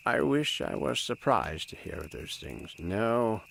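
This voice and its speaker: A man's voice: fairly low pitch, very animated, takes it slowly, creaky, a bit bright, a little hiss or hum, exaggerated voice. A man playing a kindly, hesitant old priest and physician of ancient Rome in an audio drama, earnest and courteous. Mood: gently regretful. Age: older.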